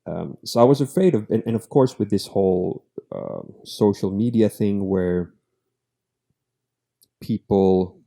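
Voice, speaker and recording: A man with a low voice hosts a relaxed interview with a friend. His tone is dark and mellow, and he sounds earnest as he thinks out loud. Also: a little slow, gravelly. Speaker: podcast host. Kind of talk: casual conversational interview.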